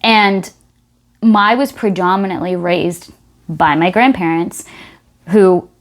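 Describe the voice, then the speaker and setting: A woman's voice: lowish voice, takes it slowly. A woman comedian chatting casually as a podcast guest, acting out the exchanges she recounts. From United States. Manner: animated, acts out quoted exchanges.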